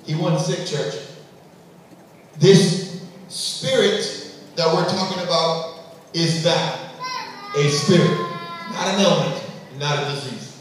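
A male pastor preaching a sermon on a large auditorium stage, emphatic, swinging between hushed and loud, and speaking straight to the church. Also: on the high side, takes it slowly, pauses often, a little hiss or hum. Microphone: room sound from a far camera. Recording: echoey hall, heard from far off.

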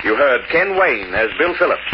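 A man's voice: medium pitch, sing-song, normal speed. A man making scripted announcements in the style of old-time radio. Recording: muffled, noisy old radio recording.

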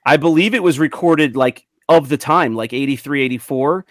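A man's voice: on the high side, moderate pace, no room sound. Man chatting casually, dry close sound. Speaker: panelist on a toy collecting show. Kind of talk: casual group chat. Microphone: large microphone up close.

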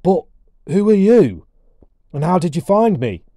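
A man's voice: high-pitched, huge pitch swings, unhurried, warm tone, dry recording, studio quality, voice pushed up, exaggerated voice. A man voicing an earnest, anxious young boy with an urgent manner in a storybook reading.

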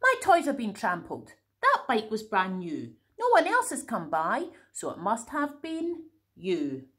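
A woman's voice: mid-range voice, wildly swooping pitch, measured pace, fairly bright tone. A woman voicing a squealing, upset little girl.

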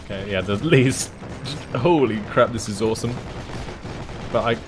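A man playing along in a casual gameplay chat, laid-back and quick to laugh. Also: on the low side. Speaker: co-player. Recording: game sounds loud behind voice. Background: game noise, gunfire.

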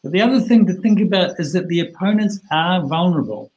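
A middle-aged man teaching a bridge hand over a video call, plain-spoken and chatty with lively asides. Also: high voice, fast talker, dull audio.

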